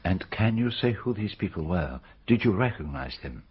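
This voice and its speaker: An actress playing a concerned questioner in a radio drama, gently but intently drawing out a young witness. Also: female voice, a bass voice, sing-song, moderate pace, slightly rough, heavily acted. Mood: probing. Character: probing, concerned adult questioner of a child. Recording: dull, muffled radio drama recording.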